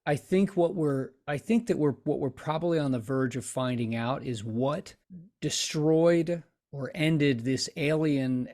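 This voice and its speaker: A middle-aged man playing a tabletop game, casually reasoning aloud with halting restarts. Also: mid-range voice, a little mellow. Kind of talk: casual improvised game discussion. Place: indoors on a video call.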